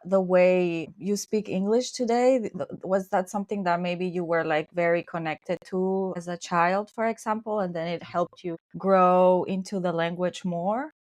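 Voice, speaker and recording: A woman's voice: on the low side, steady tone, moderate pace, hardly any pauses, a little mellow, dry recording. A woman co-hosting a podcast over an online call, chatty and friendly, with small hesitations. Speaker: podcast co-host. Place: home in France, online call. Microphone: video call.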